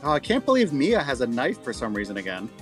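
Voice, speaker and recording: Male friend on a stream, quick and lively, playful casual banter. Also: medium pitch. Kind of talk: casual joking chat. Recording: game music behind the voice.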